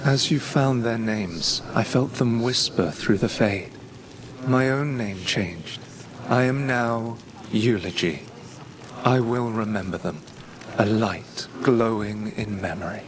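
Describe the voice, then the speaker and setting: An actor playing a solemn, reverent mystic in a fantasy game who mourns the dead in poetic phrases. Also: male voice, fairly low pitch, slow, noisy background. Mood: mournful reverence. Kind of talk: scripted fantasy game dialogue.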